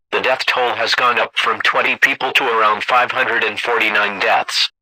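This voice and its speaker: A man's voice: on the low side, bright, forceful tone, dry recording, low-quality recording. A male voice as an emergency bulletin announcer, reading a scripted alert in a formal, stilted manner.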